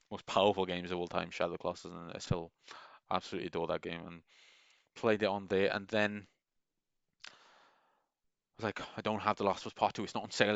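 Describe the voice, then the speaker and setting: A man with a very low, smooth and resonant voice talks through a loose, rambling monologue with plenty of enthusiasm and frequent restarts. The sound is dry and a little dull, like a lo-fi recording. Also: fairly bright tone, dry recording. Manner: meandering, enthusiastic, many restarts. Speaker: gaming video creator.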